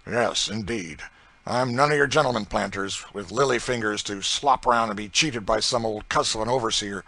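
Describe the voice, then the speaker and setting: A man voicing a brutal, boastful planter with a rural Southern accent, loud and swaggering. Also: on the low side, normal speed, gravelly, dry recording, pitched above the performer's own voice, exaggerated voice. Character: brutal boastful male slave-driving planter.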